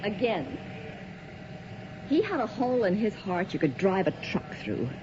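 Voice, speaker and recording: An actress playing a brisk, unsentimental hospital doctor who gives curt commands in a 1980s radio drama. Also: female voice, medium pitch, big pitch swings. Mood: matter-of-fact. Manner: curt, commanding, matter-of-fact. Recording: muffled old radio recording with loud hiss.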